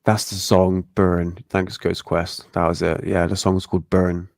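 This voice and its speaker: A man's voice: low voice, completely flat delivery, unhurried, vocal fry, darkish tone, dry recording, studio quality. A man co-hosting a casual live-stream chat, sounding congested and talking in a laid-back, friendly way. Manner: laid-back, friendly, calls the other host mate. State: congested, blocked nose. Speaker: podcast co-host.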